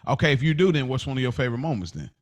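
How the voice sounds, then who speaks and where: A man's voice: mid-range voice, big pitch swings, very fast. A man co-hosting a podcast in casual, slangy chat, often acting out quotes to explain himself. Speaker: podcast co-host.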